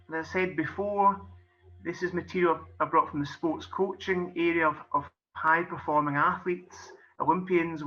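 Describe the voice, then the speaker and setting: A middle-aged Scottish man presents a coaching webinar in a bright, forceful voice that sits a little high for a man. He explains things in a friendly way, often with "kind of" and "um". The audio is dull and lo-fi, like a muffled online call. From Scotland. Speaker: golf coach and business performance coach.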